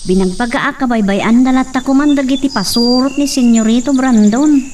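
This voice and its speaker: A woman's voice: high-pitched, brisk, darkish tone. A woman acting a polite young village woman in an amateur drama, speaking respectfully to her mother and a landowner's son. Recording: distant mic with faint outdoor noise.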